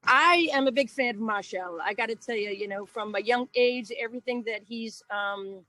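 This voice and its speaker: A woman from the United States, a guest musician, chatting casually in a relaxed way with frequent ums, outdoors on a call. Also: fairly high pitch, moderate pace, no echo. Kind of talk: casual interview chat.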